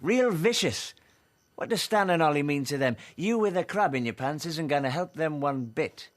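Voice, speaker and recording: A man's voice: on the high side, expressive, normal speed, natural voice. An actor playing a young, troubled male comedian who is cynical and world-weary, in dramatic dialogue. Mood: bleak, agitated.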